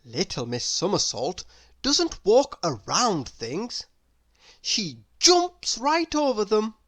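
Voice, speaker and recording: A woman reading a children's story aloud expressively, addressing young listeners with dramatic pauses. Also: mid-range voice, wildly swooping pitch.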